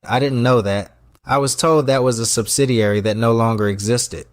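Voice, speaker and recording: A man's voice: mid-range voice, steady tone, normal speed, no room sound, clean studio sound, lightly acted. A man voicing a proud, guarded, stressed restaurant owner in an audio story. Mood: shaken, defensive.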